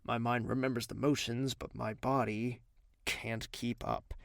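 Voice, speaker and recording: A man acts as a brooding young royal in an audio drama, blunt and world-weary. He speaks slowly and dryly in a creaky voice, recorded close and dry. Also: medium pitch, flat delivery, no echo. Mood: resigned.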